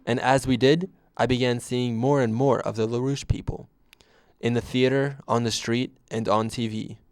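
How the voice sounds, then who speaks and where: A man narrating a scripted first-person story in a deadpan way. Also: medium pitch, moderate pace, smooth, no room sound, clean studio sound.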